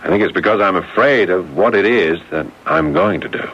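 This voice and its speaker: A low-voiced, hesitant American drifter. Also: male voice, big pitch swings, creaky, a bit bright, slightly rough, exaggerated voice. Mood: troubled. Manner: halting, stammering, polite. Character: troubled amnesiac drifter, well-mannered, hesitant, grateful, haunted. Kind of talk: radio drama acting. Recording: dull, noisy old radio broadcast.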